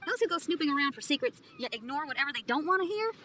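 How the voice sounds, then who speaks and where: A woman's voice: sits high, expressive, racing, sharp tone, slight background noise. A woman voicing a frank, spirited young female adventurer in a video game, casual and direct. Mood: supportive.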